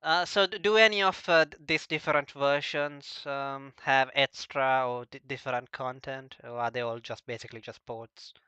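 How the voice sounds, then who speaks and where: Hesitant, stammering man with a bright voice. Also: medium pitch, fairly even intonation, moderate pace, few pauses, clear, resonant tone, dry recording, muffled recording. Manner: very hesitant, stammering with repeated word starts. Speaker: host of a visual novel talk stream. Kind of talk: casual chat.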